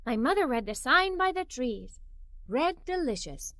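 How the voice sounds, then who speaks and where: A woman narrating a children's story aloud in an expressive, playful way. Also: high voice, moderate pace, no echo, clean studio sound.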